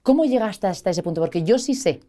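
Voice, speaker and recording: Woman host, mid-range voice, chatty and anecdotal, relaxed studio interviewer. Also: mid-range voice, quick pace. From Basque Country, Spain. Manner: chatty, anecdotal, many fillers and asides. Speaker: talk show host.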